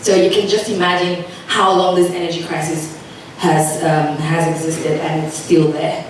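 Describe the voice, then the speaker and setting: A woman with a Nigerian accent gives a conference talk, speaking slowly and with emphasis in a fairly low voice. The sound is very echoey and picked up from a distance in a large hall, with faint background noise. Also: level tone. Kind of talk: conference presentation.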